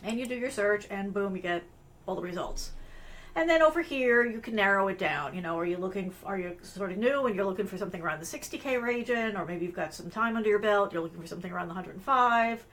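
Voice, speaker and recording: A woman's voice: mid-range voice, animated, fast talker, fairly bright tone. A middle-aged woman hosting a live career webcast, talking in a loose, rambling way with many fillers and restarts, her voice strained from allergies. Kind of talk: casual live-stream talk with screen sharing.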